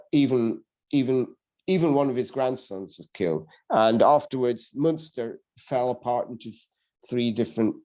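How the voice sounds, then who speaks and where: A man giving an unscripted history talk, halting often with ums and restarts as he goes. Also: medium pitch, warm tone. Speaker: history lecturer. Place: lives in England.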